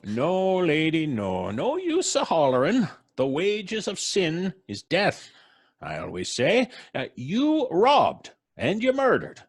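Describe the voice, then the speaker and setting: An actor playing a folksy, gloating, merciless old country man in an old-time radio drama, with a husky, chuckling rural drawl. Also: on the high side, huge pitch swings, takes it slowly, heavily acted. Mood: smug, unyielding.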